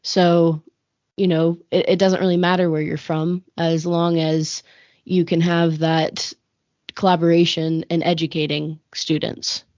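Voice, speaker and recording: A woman's voice: on the low side, level tone, measured pace, a little mellow, dry recording, lo-fi sound. A woman hosting an interview, asking questions and affirming answers briefly. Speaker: interviewer, host. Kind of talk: conversational interview.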